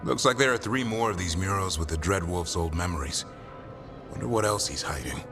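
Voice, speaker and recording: An actor playing a blunt, wry elf warrior in a fantasy video game, with dry, plainspoken delivery. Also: male voice, deep voice, a little fast, music in the background.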